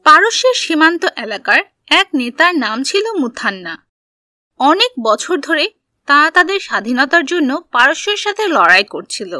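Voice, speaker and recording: A woman narrating a scripted history story for children, telling it in simple, deliberate phrases. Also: high-pitched, big pitch swings, pushed and bright, no echo.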